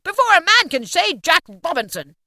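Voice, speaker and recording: A woman's voice: animated, slow, sharp tone, no echo, studio quality, exaggerated voice. A woman voicing a jovial, fat old master merchant, calling out heartily in a rich voice. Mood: merry. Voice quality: oily, rich, booming.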